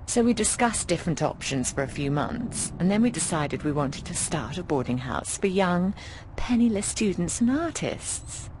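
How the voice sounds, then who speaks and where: A woman's voice: fairly low pitch, very animated, normal speed, background noise. An actress playing an affectionate, frank, middle-aged British boarding-house landlady in a video game. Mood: musing, proud. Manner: affectionate, frank, cheeky, often calls people darling.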